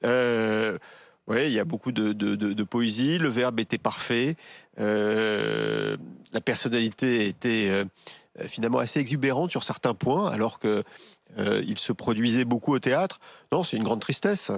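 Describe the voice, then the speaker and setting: A man's voice: lowish voice, animated, normal speed, vocal fry, no room sound. A man speaking as a radio panellist in casual discussion, often hesitating as he searches for words. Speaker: talk show panellist.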